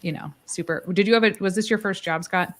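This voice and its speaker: A woman who is a charge nurse, hosting a casual panel chat with fellow nurses, animated and quick to laugh. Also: medium pitch. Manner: animated, laughs often, fillers.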